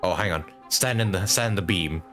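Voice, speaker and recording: A man's voice: low-pitched, fairly quick, music in the background. A man doing casual live gameplay commentary, thinking aloud informally and repeating short phrases. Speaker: game livestreamer.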